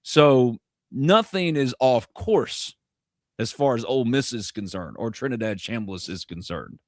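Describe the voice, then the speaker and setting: A man's voice: medium pitch, animated, measured pace, no room sound, clean studio sound. A man from North Mississippi, hosting a live sports podcast in a rambling, joking, self-deprecating way. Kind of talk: casual live sports chat.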